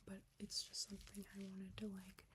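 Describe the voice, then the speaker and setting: Whispery monotone young woman. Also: mid-range voice, moderate pace, noisy background. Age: young adult. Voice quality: breathy, whispery. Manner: monotone, subdued. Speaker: vlogger. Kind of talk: reading own reflective letter aloud to viewers.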